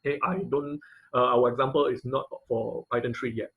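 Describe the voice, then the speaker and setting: Man, online class instructor, mid-range pitch, informal question-and-answer style, halting delivery, repeated phrases, video-call audio. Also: fairly even intonation, vocal fry, narrow, tinny audio.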